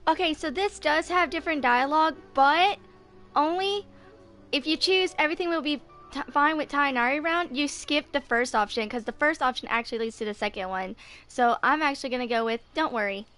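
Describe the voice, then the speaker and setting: High-voiced young woman narrating gameplay. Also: fairly quick, fairly bright tone. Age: 20s. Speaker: video game streamer. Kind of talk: casual playthrough commentary, reading on-screen text aloud. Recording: game music behind the voice.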